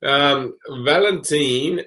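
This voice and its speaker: A man with a raspy voice from a cold, co-hosting and reading viewer questions in an easygoing, joking way. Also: on the high side, very slow, bright, forceful tone. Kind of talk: casual chat.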